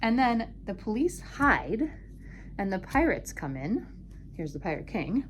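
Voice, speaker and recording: A woman's voice: medium pitch, very animated, measured pace, slight background noise. A woman telling a puppet-show story for children in a playful, informal way with simple explanations.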